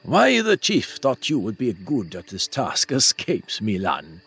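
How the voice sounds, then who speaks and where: A man's voice: lowish voice, wildly swooping pitch, a little slow, a bit bright, husky, heavily acted. A man voicing a taunting, condescending older half-brother, a bully in a fantasy audiobook. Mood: scornful. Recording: music behind the voice.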